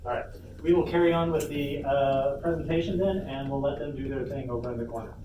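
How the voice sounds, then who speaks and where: A man hosting an informal convention workshop, speaking casually with hesitant pauses as he explains things to the room. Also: medium pitch. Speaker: panel host and presenter. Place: indoor convention panel room. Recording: roomy, distant mic, noisy convention room.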